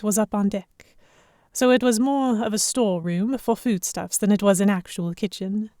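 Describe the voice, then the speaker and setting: A young, observant heroine played by a woman tells a pirate tale in the first person. Her voice is mellow and medium in pitch. The delivery is measured, literary scripted narration for an audio drama. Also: no echo, clean studio sound, natural voice. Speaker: narrator and lead actor.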